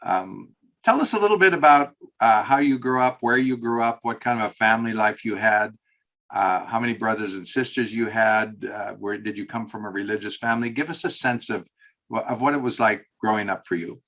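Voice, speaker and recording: A man's voice: lowish voice, a little fast, a bit bright. A man hosting an interview, asking loose, rambling questions with many fillers. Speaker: interviewer host.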